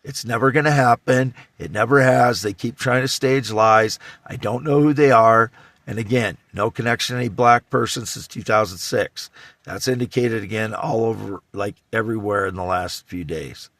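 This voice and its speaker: A man in his fifties with a low, scratchy voice and a Midwestern American accent, recorded dry and close. He talks in a rambling monologue, halting and circling back to repeat himself insistently. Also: a bit bright, no echo. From Iowa, United States. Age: 50s.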